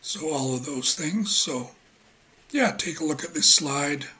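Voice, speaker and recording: A man with a husky voice giving a relaxed spoken lecture as an English teacher, halting often with ums and uhs. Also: a little high.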